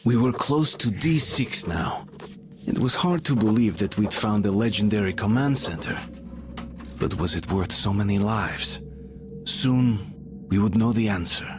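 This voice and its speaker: Low-voiced, grave soldier, narrating. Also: male voice, expressive, measured pace, background noise. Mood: somber, reflective. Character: grave young male soldier narrating his journey, reflective. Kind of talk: scripted game narration.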